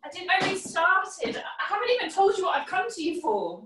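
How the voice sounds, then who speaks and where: A woman's voice: very high voice, very animated, brisk, sharp tone, heavily acted. A woman acting a polite, unsure client in a comic scene, hesitant and apologetic, recorded in an echoey room.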